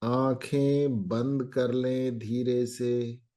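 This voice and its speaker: A man leads a guided meditation in a calm, medium-pitched voice. His delivery is extremely slow. He gives brief instructions, leaving long pauses between phrases.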